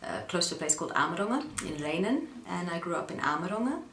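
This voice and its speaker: A woman from the Netherlands tells her story in an interview, with a very low voice and plenty of gravelly fry. She speaks hesitantly, with many ums and pauses. The room is echoey, with faint noise underneath. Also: big pitch swings, fairly bright tone. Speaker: interview guest. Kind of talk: personal account in an interview.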